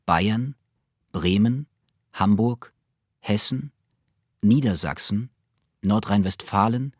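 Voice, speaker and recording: Male reader for official texts: rough-edged, lowish voice, solemn and formal, very dry studio. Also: no echo. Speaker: reader of historical documents. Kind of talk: formal scripted document reading.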